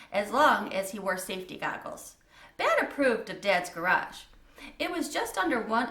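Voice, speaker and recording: A woman's voice: fairly high pitch, a bit bright, roomy sound. A woman reading a children's book aloud as a storyteller, in a scripted narration style.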